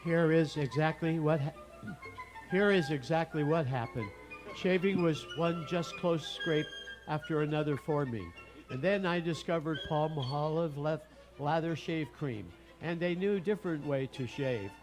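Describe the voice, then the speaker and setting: A man plays a satisfied customer in a 1950s-style radio ad. His voice is a bit high for a man. He gives an earnest testimonial with small stumbles, over faint music. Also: unhurried, natural voice, rough recording. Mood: earnest, pleased.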